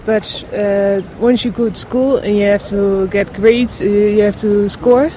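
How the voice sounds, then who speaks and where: A woman's voice: mid-range voice, fairly even intonation, mellow. Earnest woman, street noise behind. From Netherlands. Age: 20s. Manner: earnest, pausing often to find words. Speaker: project founder. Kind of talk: documentary interview. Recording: outdoor street noise with passing traffic.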